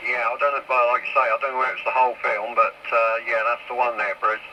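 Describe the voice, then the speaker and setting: A man's voice: mid-range voice, level tone, fast talker, dull audio. A man chatting casually over CB radio. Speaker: CB radio hobbyist. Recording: noisy, crackly CB radio.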